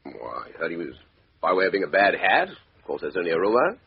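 An actor playing an offhand, evasive male former army major who is a con man, in a 1950s radio drama, with a raspy, husky voice. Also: low-pitched, big pitch swings, brisk, exaggerated voice. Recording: dull old radio broadcast.

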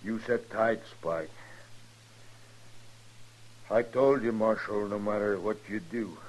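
A man's voice: on the low side, slow pace. An American actor playing a sullen, cowardly gunman in a radio western, stubborn and guarded. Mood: stubborn, wary. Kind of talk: 1950s radio western acting. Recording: hissy, noisy old radio recording.

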